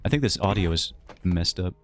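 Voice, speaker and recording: A man's voice: deep voice, animated, fairly quick, a bit bright. A man streaming while he draws his webcomic, who rambles in a casual, self-mocking and jokey way. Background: faint background music.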